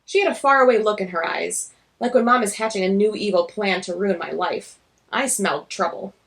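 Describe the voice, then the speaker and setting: A woman's voice: mid-range voice, fairly quick, creaky, fairly bright tone, pitched below the performer's own voice. A woman reading a graphic novel as a nervous, self-deprecating girl who narrates in a wry, glum way. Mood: wry, wary.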